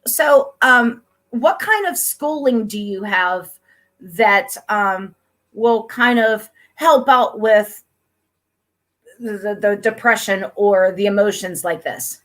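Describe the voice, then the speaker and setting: A woman's voice: medium pitch, slow, fairly bright tone. A middle-aged woman from Pennsylvania, hosting a casual chat interview in a chatty, upbeat way with frequent laughter. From Pennsylvania, USA. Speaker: host.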